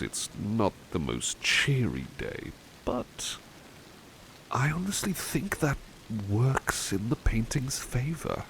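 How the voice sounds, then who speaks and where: A man acts as a genteel, relaxed butler in an ASMR roleplay, musing politely and hesitantly. His low voice is slow and creaky, with steady rain noise behind it. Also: full character voice. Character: relaxed, courteous male butler who paints. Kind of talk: ASMR roleplay, one-sided chat with listener.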